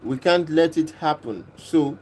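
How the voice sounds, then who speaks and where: A man from Kenya hosting a livestream, reading and answering viewers' comments in a casual, unhurried way and often repeating himself. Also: a little high, a little mellow, husky. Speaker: livestream host reading chat. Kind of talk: casual live chat.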